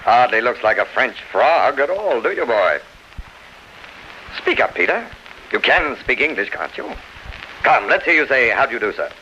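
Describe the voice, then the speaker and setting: An actor playing a blustering, mocking English colonel, brusque and domineering, in a 1930s radio drama. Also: male voice, mid-range voice, sing-song, moderate pace, long pauses, sharp tone. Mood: sneering. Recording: dull vintage radio recording, faint noise.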